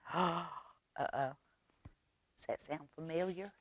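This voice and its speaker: A woman tells a children's story in a slightly low voice at a very leisurely pace. She reads expressively, with dramatic pauses and coaxing questions for young listeners. The sound is close and dry. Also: a bit bright, slightly rough, no echo. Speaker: library storytime reader. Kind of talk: children's read-aloud storytelling.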